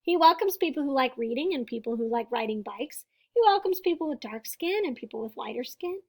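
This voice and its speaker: A woman with a high-pitched voice reads a picture book aloud to children. She phrases things deliberately, leaning on important words. She speaks as a teacher would, explaining simply to young listeners. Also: expressive, darkish tone. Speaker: storybook reader and teacher.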